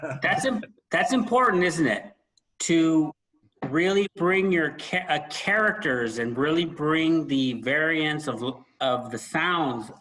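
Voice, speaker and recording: A man hosting a conversational podcast about books, speaking emphatically and pausing for dramatic effect. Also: fairly high pitch, animated, a bit bright, low-quality recording. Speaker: podcast host. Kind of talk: conversational book discussion.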